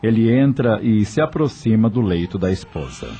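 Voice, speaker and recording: A man with a husky voice reading scripted narration for a radio drama. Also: on the low side, animated, normal speed, mellow.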